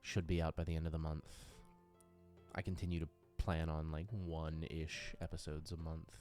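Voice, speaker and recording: A man's voice: extremely low pitch, little pitch movement, moderate pace, a little mellow, soft background music. A man giving casual, unscripted game master commentary as he works through tabletop character sheets, now and then murmuring little nonsense sounds to himself. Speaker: creator and game master of a tabletop RPG audio drama.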